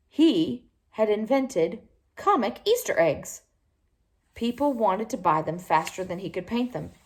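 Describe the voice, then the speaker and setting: A woman reading a children's picture book aloud in an expressive, animated way, with little asides to her listeners. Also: mid-range voice. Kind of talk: storybook read-aloud to young children.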